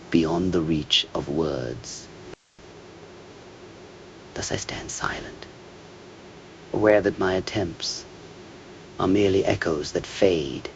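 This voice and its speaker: A man speaks very slowly in a low, gravelly voice, as if in quiet meditation. His scripted phrases are measured and contemplative, often softening toward a whisper at the ends. A faint low noise sits under the voice. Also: lo-fi sound. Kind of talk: slow meditative scripted monologue.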